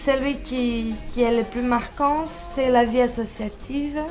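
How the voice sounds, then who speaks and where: A Brazilian woman in her twenties speaking French as a second language, calmly answering interview questions at home and sometimes repeating words as she looks for them. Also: a little high, soft background music. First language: Portuguese. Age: 20s. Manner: unhurried, searching for words with repeats.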